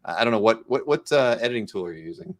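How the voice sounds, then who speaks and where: A man's voice: on the low side, animated, fast talker. A man hosting a casual interview in a rambling, conversational way. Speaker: host of a comic art live show.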